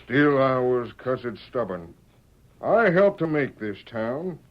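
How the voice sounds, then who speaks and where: A man's voice: mid-range voice, very animated, slow. A man playing a stubborn, hot-tempered, honest frontier father in an old radio western, with folksy rural speech. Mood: rueful. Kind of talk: 1940s radio western acting. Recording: muffled old radio recording.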